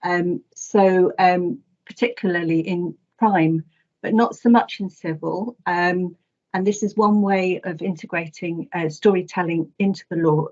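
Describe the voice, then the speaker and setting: Lower-voiced woman presenting, dull webinar audio. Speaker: academic presenter. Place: online webinar call.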